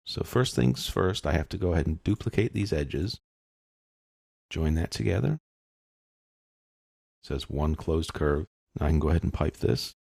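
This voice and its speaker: Male tutorial instructor, deep and husky, informal, explains each step as he goes. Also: a little mellow, no room sound, clean studio sound. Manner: informal, explanatory, thinking aloud. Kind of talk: unscripted step-by-step screen tutorial narration.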